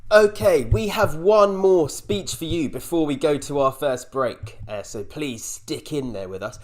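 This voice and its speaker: A man's voice: on the high side, animated, unhurried. A man with a British accent hosting a live-streamed developer conference, chatty and joking with the audience as he introduces speakers. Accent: British English. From United Kingdom. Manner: chatty, upbeat, jokey. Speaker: conference host.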